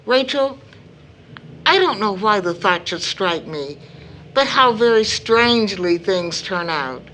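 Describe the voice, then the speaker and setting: A woman's voice: mid-range voice, lively intonation, takes it slowly, pushed and bright, slight background noise, rough recording. A woman playing a kindly, fussing older mother with a homely, affectionate way of talking in an audio drama. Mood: sheepish, reflective. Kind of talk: stage play audio drama acting.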